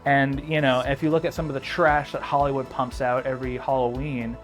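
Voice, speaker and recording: A man's voice: medium pitch, little pitch movement, fairly quick, faint music behind. A young man delivering a casual video essay as narrator, informal and sardonic with joking asides.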